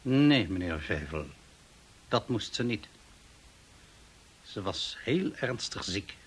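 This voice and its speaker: An actor playing a composed, matter-of-fact doctor in a radio play, speaking calmly and deliberately. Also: male voice, on the low side, a little hiss or hum. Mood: grave, factual. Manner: calm, deliberate, professional. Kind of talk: Dutch radio drama acting.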